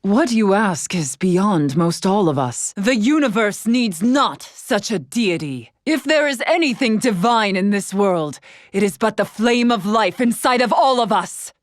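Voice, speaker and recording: An actress playing a dignified, stern, scholarly woman in a fantasy video game, speaking in formal, archaic, measured phrases. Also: medium pitch, expressive, no echo, clean studio sound, natural voice. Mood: solemn. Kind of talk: scripted video game character dialogue.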